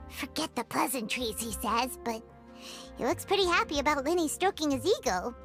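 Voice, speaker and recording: A woman voicing a small, childlike floating sidekick in a video game, cheeky and suspicious, with a squeaky voice. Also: lively intonation, normal speed, fairly bright tone, soft background music. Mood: mocking. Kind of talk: English game voice acting.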